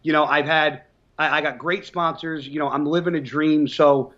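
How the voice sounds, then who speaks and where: A man's voice: fairly high pitch, normal speed, muffled recording. A man in his thirties from New Jersey, a professional bodybuilder chatting bluntly in an interview, swearing freely and telling stories with energy. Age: 30s. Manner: blunt, swears often, animated storytelling. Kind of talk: casual interview chat.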